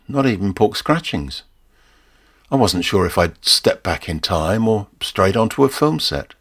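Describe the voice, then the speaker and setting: Low-voiced British man narrating a story. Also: fairly bright tone. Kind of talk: scripted first-person story narration.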